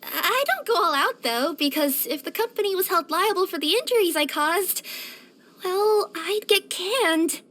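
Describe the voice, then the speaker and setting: A woman voicing a cheerful, curious young female cat yokai in a video game, with a light girlish voice and an expressive, chatty delivery. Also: extremely high pitch, unhurried, exaggerated voice. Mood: determined. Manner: expressive, eager, chatty, wistful at times. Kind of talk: scripted game character voice-over.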